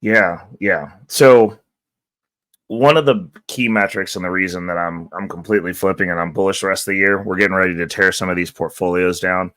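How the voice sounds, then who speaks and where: A man hosting a casual vlog, hoarse from losing his voice, talking in an upbeat, loose, rambling way. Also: low-pitched, steady tone, fairly quick, fairly bright tone, dry recording. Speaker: channel host. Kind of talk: casual market-update vlog.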